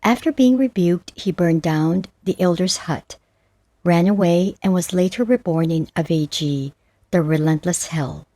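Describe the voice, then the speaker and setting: Slow, mellow woman narrator. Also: lowish voice, no echo. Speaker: audiobook narrator. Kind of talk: scripted narration of Buddhist verses and stories.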